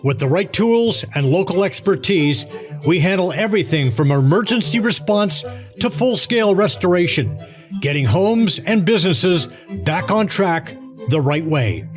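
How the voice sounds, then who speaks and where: A man's voice: high-pitched, animated, normal speed, soft background music. A man delivering scripted advertising narration as a commercial announcer.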